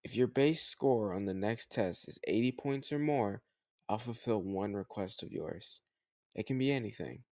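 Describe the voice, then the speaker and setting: A man playing a teasing, slightly older home tutor who gently chides the listener in a conversational, intimate roleplay audio drama. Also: fairly low pitch, normal speed, no echo, clean studio sound, close to an everyday voice. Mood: teasing, coaxing.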